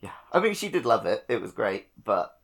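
A man's voice: on the low side, sing-song, a little fast, vocal fry, fairly bright tone. A man co-hosting a casual video game podcast, speaking in an informal, playful way. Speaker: podcast co-host.

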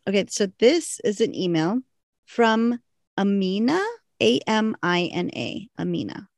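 A woman's voice: medium pitch, sing-song, unhurried, gravelly, no echo. Laid-back woman podcast co-host, dry close sound. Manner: laid-back, laughing, self-teasing. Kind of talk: casual chat, reading listener email.

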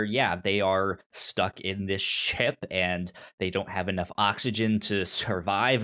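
A man with a fairly low voice co-hosts a casual discussion show. His delivery is halting and informal, and he stutters, repeating syllables and words as he goes. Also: a bit bright. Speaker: podcast co-host. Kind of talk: casual book and film discussion.

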